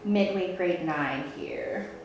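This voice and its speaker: A woman's voice: fairly low pitch, takes it slowly, a little mellow. A chatty young woman from the Philippines talks casually as an interview guest. She laughs easily and slips into little voices for quotes. The room around her rings with a strong echo and a faint hiss. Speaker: guest, salon owner. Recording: echoey room, faint noise.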